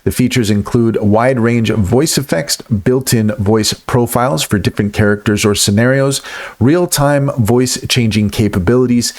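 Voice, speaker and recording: A man's voice: medium pitch, moderate pace, darkish tone. A man hosting a casual tutorial-style review, partly reading aloud from notes as he narrates. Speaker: video host and software reviewer.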